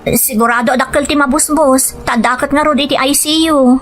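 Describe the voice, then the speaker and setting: A woman acts out a deceitful gambling wife with an Ilocano accent in an audio drama. Her voice is on the high side, coaxing and dramatic, over background music. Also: steady tone, a little fast, a bit bright. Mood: feigned worry, coaxing. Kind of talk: radio-style drama acting.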